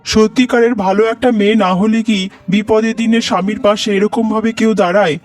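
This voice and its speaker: A man's voice: sits high, steady tone, brisk, lightly acted. A man playing an earnest, worried poor labourer and husband in a melodramatic cartoon, with a pleading manner. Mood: grateful. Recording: music behind the voice.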